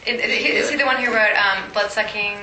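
A woman's voice: medium pitch, fairly even intonation, fast, over a noisy crowd. A woman casually leading a group chat, asking questions in an encouraging way. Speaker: discussion leader. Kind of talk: casual group discussion facilitation. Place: room with a group of teens. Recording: echoey room with clear background noise.

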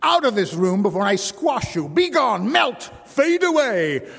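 A man's voice: sits high, very animated, a little slow, vocal fry, fairly bright tone, breathy edge, voice pushed up, heavily acted. A man reading a story aloud, voicing a boastful, contemptuous circus bareback rider who bellows his insults. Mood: bellowing. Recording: long hall reverb.

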